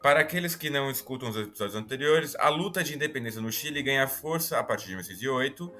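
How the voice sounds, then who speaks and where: A man's voice: mid-range voice, expressive, racing, pushed and bright. A Brazilian man with a mid-range voice walks listeners through history in an easy, conversational podcast style. He talks in a chatty, explanatory way and drops in self-mocking asides. A faint guitar plays behind him. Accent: Brazilian Portuguese. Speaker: podcast host.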